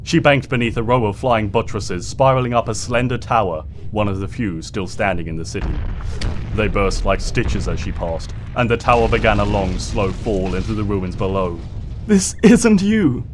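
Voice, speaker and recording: Low-voiced man narrating a dark story. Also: fairly even intonation, normal speed, noisy background. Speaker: fan fiction narrator. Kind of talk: dramatic scripted story narration. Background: ambient sound effects.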